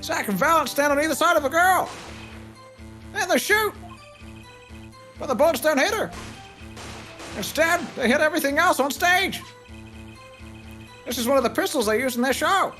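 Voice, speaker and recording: A woman's voice: sits high, animated, normal speed, long pauses, pushed and bright, faint music behind. A woman voicing a blustery, folksy male police detective in a live fan dub of a video game. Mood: blustery, confident. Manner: folksy, emphatic, ends phrases with pal or sir.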